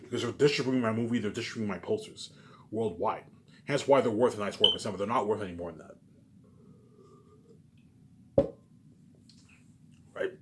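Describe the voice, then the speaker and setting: A man's voice: on the low side, moderate pace, long pauses, noisy background. A man delivering a rambling, casual monologue, repeating himself emphatically, swearing and often tacking on questions for agreement. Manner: repetitive, emphatic, swearing, frequent tag questions.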